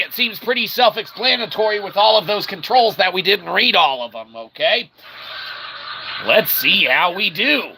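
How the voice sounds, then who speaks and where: A man's voice: sits high, creaky, sharp tone, slight background noise, low-quality recording. A man hosting a casual, rambling game livestream in a jokey, mock-earnest showman manner. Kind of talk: casual rambling let's-play commentary.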